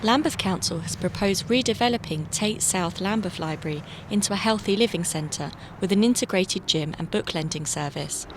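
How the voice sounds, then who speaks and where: A woman reporter reading a scripted news voice-over in a measured, even way. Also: mid-range voice, background noise.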